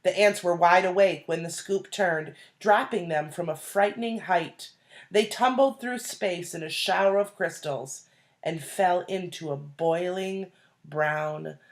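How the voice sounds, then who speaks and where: A woman reading a children's storybook aloud like a teacher, deliberately, pausing to explain and put questions to young listeners. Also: low-pitched, creaky. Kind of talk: expressive storybook read-aloud with asides and questions.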